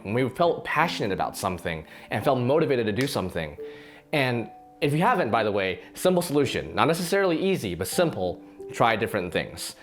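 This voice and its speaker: A slightly hoarse man, a fitness channel host, talks earnestly to the camera in a casual reflection, with frequent restarts and fillers. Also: medium pitch, fairly bright tone. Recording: faint guitar music underneath.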